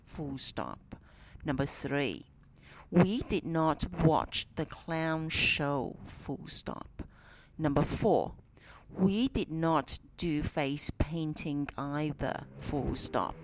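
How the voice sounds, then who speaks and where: A woman reading a dictation exercise as a teacher, in a deliberate, enunciated way with pauses between phrases. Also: low voice. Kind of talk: slow scripted dictation read-aloud.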